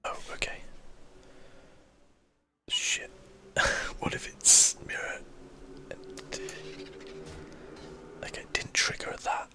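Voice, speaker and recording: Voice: takes it slowly, long pauses, bright, forceful tone. Whispered ASMR game commentary. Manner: casual, thinking aloud. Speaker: video game streamer.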